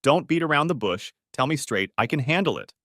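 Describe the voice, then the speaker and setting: A man's voice: mid-range voice, expressive, fairly quick, a bit bright, no room sound, studio quality, pitched above the performer's own voice, natural voice. A man voicing a wry, flirtatious husband in an audio story, joking as he talks. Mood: wry banter.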